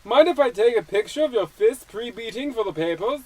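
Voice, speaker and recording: A man's voice: extremely high pitch, lively intonation, fairly quick, a little mellow, no echo, poor audio. A man playing a brash, slick, scoop-hungry newspaper reporter in an old-time radio comedy. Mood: brash, eager.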